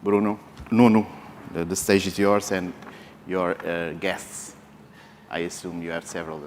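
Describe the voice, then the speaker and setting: A man's voice: low-pitched, flat delivery, measured pace, a little hiss or hum. Man hosting in a big hall. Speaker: conference host. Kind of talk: opening remarks at a conference. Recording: reverberant conference hall.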